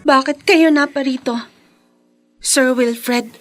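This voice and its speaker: High-voiced, earnest young daughter. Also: female voice, fairly even intonation, takes it slowly, soft background music. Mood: bitter, defiant. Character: earnest young woman, bereaved daughter, proud and determined. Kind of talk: radio drama acting.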